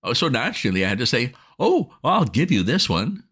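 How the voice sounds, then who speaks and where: A man's voice: medium pitch, big pitch swings, fairly quick, fairly bright tone, slightly rough, voice pushed up, full character voice. A man voicing a breezy young woman who gushes and coaxes in an airy way in a storybook reading. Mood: wheedling. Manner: gushing, coaxing, airy.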